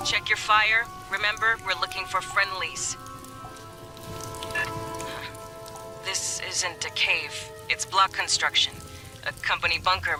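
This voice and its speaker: A man voicing a gruff, seasoned marine squad sergeant in a game, giving terse, commanding orders. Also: sits high. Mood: tense, watchful. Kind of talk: game voice acting, tactical radio chatter. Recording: loud music behind the voice.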